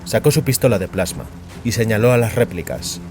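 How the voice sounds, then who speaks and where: A man narrating dramatic fiction in a solemn, measured way. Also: on the low side, animated, a little mellow, faint music behind.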